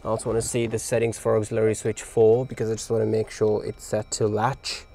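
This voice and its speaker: A man's voice: fairly low pitch, monotone, fairly quick, vocal fry, mellow. A man giving a casual hands-on explainer for a vlog, informal and thinking aloud as he works. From Australia. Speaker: vlogger showing a DIY car radio install.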